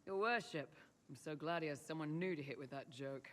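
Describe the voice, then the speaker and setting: A man's voice: fairly high pitch, brisk, fairly bright tone, big-room sound, close to an everyday voice. An actor playing a young male mercenary lieutenant in a video game, teasing with dry, sardonic wit. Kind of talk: video game character dialogue.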